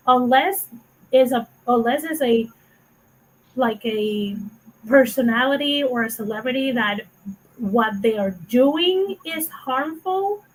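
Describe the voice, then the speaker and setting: A woman's voice: on the high side, slight background noise, poor audio. A woman with a Puerto Rican accent co-hosting a casual online chat, earnest and thoughtful, sometimes searching for words, on a video call. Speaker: co-host.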